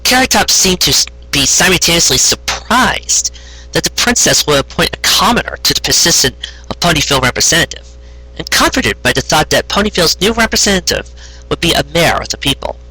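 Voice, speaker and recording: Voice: mid-range voice, big pitch swings, fairly quick, bright, forceful tone, husky, poor audio. An amateur narrator reading a story expressively, with occasional stumbles and self-corrections.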